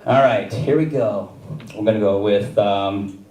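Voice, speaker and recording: A man performing a stand-up comedy set, with a wry, deadpan delivery and chuckles between jokes. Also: on the low side, measured pace, distant mic, a little hiss or hum. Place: comedy theater stage. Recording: roomy live stage mic from afar.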